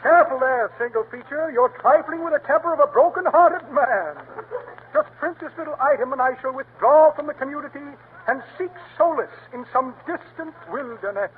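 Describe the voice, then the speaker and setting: A man's voice: very high-pitched, expressive, brisk, a little hiss or hum. A pompous blustering con man. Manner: grandiloquent, punning, mock-tragic. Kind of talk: 1940s radio comedy acting. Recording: tinny old radio broadcast.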